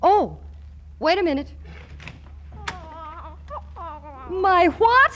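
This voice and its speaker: Indignant young working gal, 1940s radio comedy: high pitch, very animated, stammering protests. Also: female voice, unhurried, full character voice. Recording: noisy 1940s radio broadcast recording.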